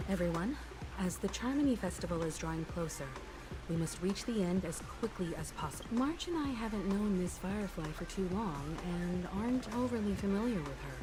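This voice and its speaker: Brief: actress as practical young heroine, expressive mid voice, over game music. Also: female voice, medium pitch, fairly quick, lightly acted, low-quality recording. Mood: steady. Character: calm young woman explorer, sensible leader. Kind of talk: studio game voice acting. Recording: game audio with loud music.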